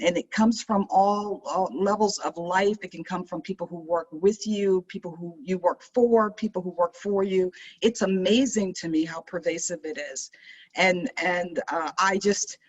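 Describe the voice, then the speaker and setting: Earnest woman with halting restarts. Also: fairly low pitch, slightly rough, low-quality recording. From Maryland. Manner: earnest, reflective, many restarts and fillers. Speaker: panel guest. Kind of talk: conversational panel discussion.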